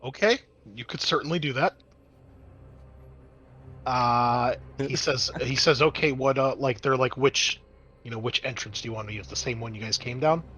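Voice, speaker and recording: A man's voice: medium pitch, moderate pace, sharp tone, slightly rough, rough recording. A man narrating as game master of a tabletop roleplaying game, explaining things in a conversational, improvised way.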